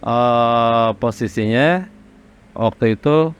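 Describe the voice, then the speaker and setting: A man from Indonesia, a rock singer, casually recalling his career in an interview in a halting, reflective way. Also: mid-range voice, clear, resonant tone. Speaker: rock vocalist being interviewed. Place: studio workroom.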